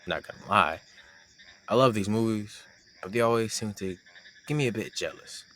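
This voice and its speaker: Man acting a low-voiced, shy young romantic. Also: moderate pace, fairly bright tone, slight background noise, close to an everyday voice. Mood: wistful, shy. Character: shy, teasing young man secretly in love with his friend. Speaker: audio roleplay voice actor. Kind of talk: intimate boyfriend-style audio roleplay.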